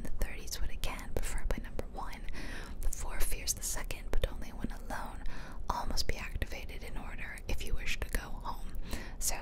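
A woman whispering casual, rambling ASMR commentary over gameplay, close to the mic. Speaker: gaming ASMR creator. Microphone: close mic for ear-to-ear whispering.

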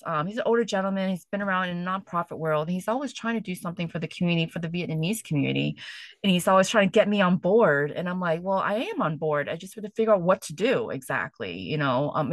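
Female therapist guest, middle-aged, lower pitch, dry close mic, earnest and hesitant delivery. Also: no room sound. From United States. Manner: earnest, hesitant, laughs often. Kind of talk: casual podcast conversation.

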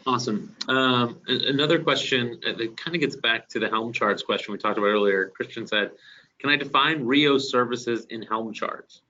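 A man's voice: medium pitch, moderate pace, sharp tone. A man moderating an online meetup, reading out audience questions in a brief, businesslike way. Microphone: video call. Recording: dull distant web meeting audio.

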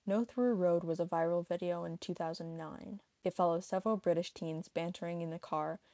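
Low, mellow woman narrating an explainer. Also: steady tone, brisk, no echo. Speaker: narrator of an online video.

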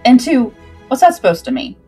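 A woman's voice: on the high side, sing-song, fairly quick, warm tone, slightly rough, soft background music. A woman voicing a cheeky, eager sixteen-year-old cabin boy with youthful, casual banter in a pirate story. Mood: teasing.

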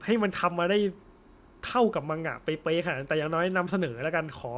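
A man's voice: a little high, fast talker, a bit bright. A young man chatting casually and informally as co-host of an anime discussion. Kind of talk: casual post-film chat.

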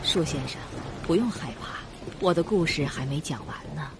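A woman's voice: low-pitched, moderate pace, very noisy, natural voice. An actress in a suspense radio drama playing a vengeful, sinister young woman narrating her family's tragedy. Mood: cold, calm. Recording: music behind the voice.

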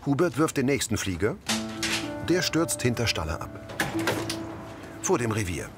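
A man's voice: mid-range voice, very animated, a little slow, over loud music. A man reading scripted audio description of scenes as a narrator.

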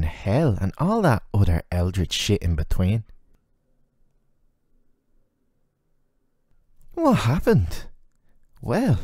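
A man's voice: on the low side, dark tone, dry recording, clean studio sound, exaggerated voice. Man voicing a flirty, swooping, sardonic demon. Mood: amused, casual. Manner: teasing, casual and slangy, intimate. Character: flirty, sardonic, ageless male demon playing tempter. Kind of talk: roleplay audio acting addressed to the listener.

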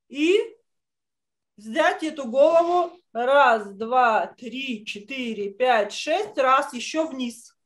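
High-voiced woman teaching drawing. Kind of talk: step-by-step online lesson instruction. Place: indoors, on a video call. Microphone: computer mic on video call.

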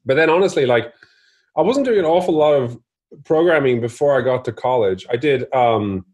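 A man's voice: medium pitch, animated, moderate pace, darkish tone, breathy edge, dull audio. A man in his forties from Norway, chatting casually and rambling self-deprecatingly as an interview guest on a video call. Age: 40s. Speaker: interview guest, startup investor.

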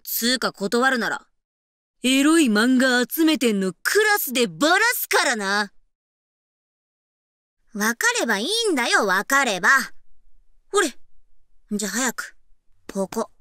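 An actress playing a brash, teasing delinquent high school girl in an ASMR voice drama, with husky, breathy, rough tomboyish speech. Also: lively intonation, a little slow, pauses often, fairly bright tone, no room sound, clean studio sound. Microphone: close-up ASMR microphone.

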